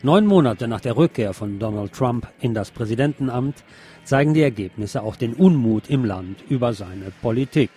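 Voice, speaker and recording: A man's voice: mid-range voice, lively intonation, moderate pace, background noise. Male news presenter for scripted bulletins, mid-range voice.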